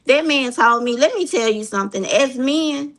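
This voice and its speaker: A woman's voice: high voice, lively intonation, moderate pace, a bit bright. A woman from Mississippi with a Southern accent, telling a story emphatically on a livestream panel, repeating lines for emphasis.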